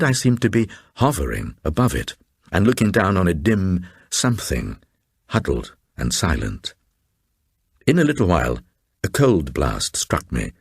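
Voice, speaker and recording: An actor playing a reclusive, haunted old man who gravely reads out his uncanny diary in a gothic radio drama, with a gravelly, husky voice. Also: low-pitched, no room sound, studio quality, exaggerated voice. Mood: awed, uneasy. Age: older. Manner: grave, brooding, deliberate.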